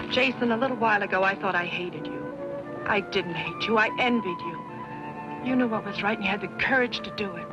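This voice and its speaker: Earnest frontier woman played by an actress, higher-pitched. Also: lightly acted. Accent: American. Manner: measured, impassioned speechmaking. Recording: muffled old film soundtrack, music behind.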